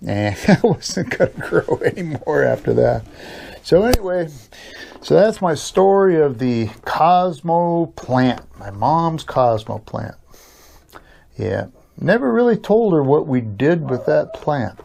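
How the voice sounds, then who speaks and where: An older American man telling a personal story casually in a rambling, halting, chuckling way, as host of a storytelling channel. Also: fairly high pitch, very animated, vocal fry, mellow.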